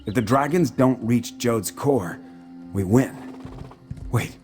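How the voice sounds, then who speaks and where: An actor playing a measured, resolute military commander in a fantasy video game. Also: male voice, medium pitch, a little mellow, faint music behind, close to an everyday voice. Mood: grave, measured.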